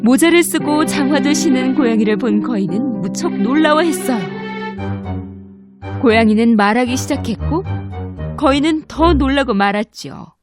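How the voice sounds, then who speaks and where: Female narrator, high voice, gentle fairy-tale reading over loud music. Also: expressive, normal speed. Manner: gentle storytelling. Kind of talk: scripted children's story narration. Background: loud background music.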